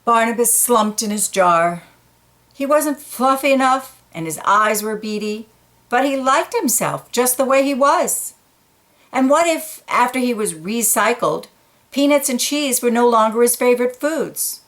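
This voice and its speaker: A woman reading a children's picture book aloud, gently pointing out details in the pictures between passages. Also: a little high, lively intonation, unhurried.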